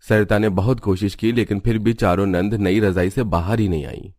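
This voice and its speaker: Male storyteller for animated family tale, lowish voice, scripted narration. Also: normal speed.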